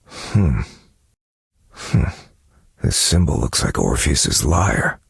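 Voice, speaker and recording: A brooding detective-novelist in a mystery game speaks in an extremely low, husky voice. He is analytical and measured, murmuring thoughtfully to himself. The sound is dry and close, with a gravelly rasp. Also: sing-song, no echo, full character voice. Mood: pondering, puzzled. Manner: measured, contemplative, musing to himself with murmured hums. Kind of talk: scripted game character monologue.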